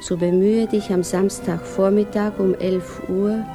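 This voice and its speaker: An actress plays a proper widowed mother in a radio drama, her voice of medium pitch. She is nervous and sensitive, able to turn from tenderness to indignation. Music sits clearly behind her. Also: little pitch movement, measured pace, natural voice. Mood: hesitant, composing. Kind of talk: German radio drama acting.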